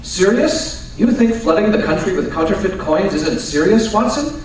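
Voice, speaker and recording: A fairly high, very animated voice, a man acting an irritable, imperious Victorian detective in an old-time radio play. He is curt and emphatic, heard in a strongly echoing room. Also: normal speed. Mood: indignant. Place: live performance at a radio club event. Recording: roomy, distant live stage recording with hiss.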